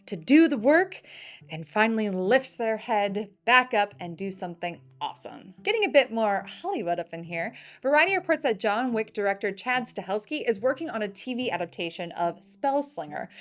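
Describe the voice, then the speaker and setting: A woman hosting a scripted film news show with wry, opinionated commentary. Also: a little high.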